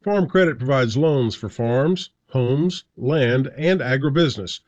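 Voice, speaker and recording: A man's voice: medium pitch, unhurried, no echo, dull audio. A man hosting a farm radio program, reading sponsor messages in a scripted announcing style from a studio. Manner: reads sponsor messages and sayings in an even studio voice. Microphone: studio microphone. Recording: dry studio sound with faint music.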